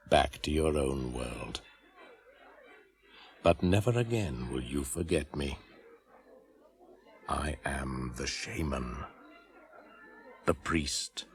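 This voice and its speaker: An actor playing an ancient, ominous shaman spirit in a radio drama, speaking in a solemn, portentous, deliberate way. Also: extremely low pitch, full character voice. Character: ancient ominous male shaman, pagan spirit of Father Christmas. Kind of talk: 1980s radio drama, incantatory monologue. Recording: very noisy old radio broadcast recording.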